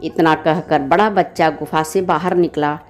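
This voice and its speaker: A woman's voice: low voice, steady tone, moderate pace, faint music behind. A woman storyteller narrating a children's bedtime story.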